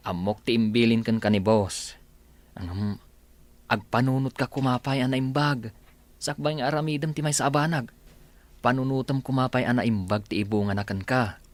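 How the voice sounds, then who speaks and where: A man's voice: medium pitch, fairly even intonation, normal speed, close to an everyday voice. A man playing a nervous, deferential henchman in an Ilocano radio drama, with a hesitant, pleading manner. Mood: warning. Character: nervous, deferential male henchman to a crime boss.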